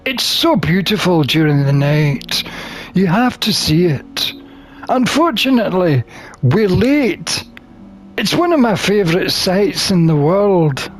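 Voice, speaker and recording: A man reading a visual novel aloud as a gamer, expressive and theatrical, with drawn-out phrasing. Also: high-pitched, faint music behind. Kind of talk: expressive read-aloud of game text.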